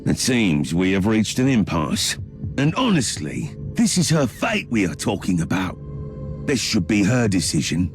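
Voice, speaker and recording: An actor playing a courteous, dryly sardonic dignitary, formal and deliberate, in a theatrical game cutscene. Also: male voice, fairly low pitch, wildly swooping pitch, soft background music, exaggerated voice. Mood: measured, diplomatic.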